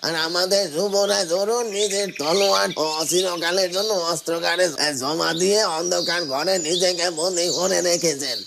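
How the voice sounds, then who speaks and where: Man as high-voiced, sorrowful pious village farmer. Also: fairly even intonation, normal speed, vocal fry, few pauses, background noise. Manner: earnest, lamenting, hesitant. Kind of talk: cartoon melodrama acting.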